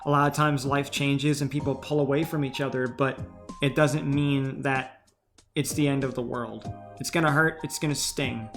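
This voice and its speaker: A man's voice: medium pitch, fairly even intonation, normal speed, vocal fry, a bit bright, soft background music. A man streaming as a VTuber, giving casual, earnest and supportive advice in response to reddit posts.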